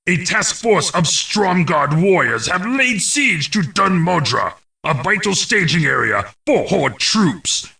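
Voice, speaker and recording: A man's voice: a little high, bright, forceful tone, recorded from a distance, heavily acted. A man playing a grim, imperious orc who narrates fantasy game war briefings in a growling, commanding, theatrical way. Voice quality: gravelly, growling.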